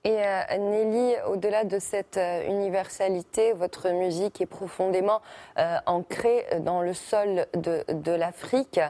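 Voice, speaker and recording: A woman interviewing a guest on the radio, asking slow, hesitant questions. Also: medium pitch, steady tone, warm tone, dry recording.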